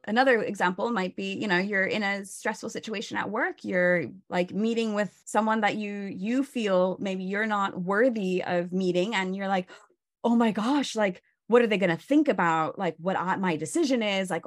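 A woman's voice: medium pitch, normal speed. Woman coach walking someone through a stress exercise, talky, keeps saying "right?". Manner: warm teaching tone with many fillers like "you know" and "right?". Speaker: burnout coach, guest. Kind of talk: explanatory casual chat.

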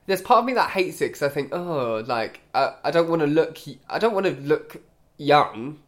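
A man, co-hosting a podcast in casual chat, his voice hoarse and croaky from illness. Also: fairly high pitch, lively intonation, moderate pace. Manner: easygoing, jokey. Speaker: podcast co-host.

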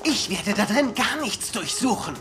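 A reluctant young male sidekick, protesting in irritated, lively intonation. It is dubbed series dialogue, and loud music runs behind him. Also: high-pitched, moderate pace. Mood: exasperated.

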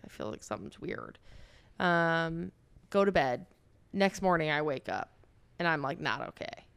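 Gravelly, playful woman telling a story. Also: low voice, unhurried, no echo. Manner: playful, self-mocking, lively storytelling. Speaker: podcast co-host. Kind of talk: casual storytelling.

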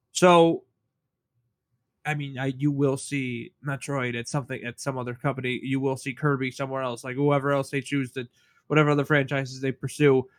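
A man with a stuffy nose chats on a podcast in a fairly high voice. He talks emphatically and swears now and then. His voice is recorded close and dry. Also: no room sound. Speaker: podcast co-host. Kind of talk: casual chat.

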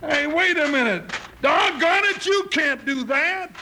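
A man playing a comical, big-talking cowboy deputy in an old radio Western, with a folksy American Western drawl and a gravelly high voice. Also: expressive, unhurried, loud music behind the voice. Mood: indignant. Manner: blustery, folksy. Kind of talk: 1950s Western radio serial acting. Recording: noisy, crackly old radio recording.

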